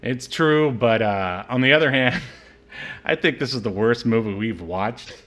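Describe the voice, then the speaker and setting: A man with a medium-pitched voice hosts a casual film review podcast. His delivery is conversational and a bit rambling, with hesitant phrasing as he thinks aloud. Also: unhurried, a bit bright. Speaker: podcast host.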